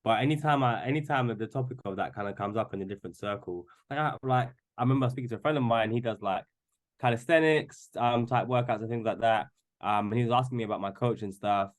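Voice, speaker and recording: A man's voice: mid-range voice, fast. Guest, male, twenties, casual and rambling, lots of "like" and "you know". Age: 20s. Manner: rambling, many restarts and fillers. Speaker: podcast guest. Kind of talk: casual chat.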